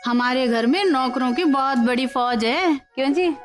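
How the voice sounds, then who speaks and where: A woman's voice: sits high, normal speed, soft background music, lightly acted. An actress playing a boastful middle-aged mother bragging about her son in a family sitcom. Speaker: groom's mother. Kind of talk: sitcom acting.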